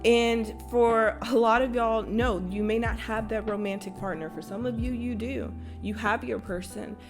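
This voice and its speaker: Young woman, light Texas twang, blunt slangy tarot reader talking over clear guitar music. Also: fairly high pitch, fairly even intonation, moderate pace. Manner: blunt, conversational, swears freely, with slang. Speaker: tarot reader and spiritual channel host. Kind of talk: casual spoken tarot reading. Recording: guitar music playing behind.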